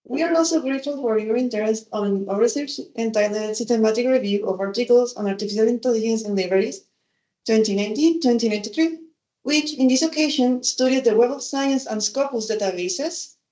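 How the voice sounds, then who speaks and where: Young woman reading formally, mellow. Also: on the high side. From Chile. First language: Spanish. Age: young adult. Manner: careful, formal wording. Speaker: seminar presenter. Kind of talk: reading a prepared presentation. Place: seminar venue. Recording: echoey conference room.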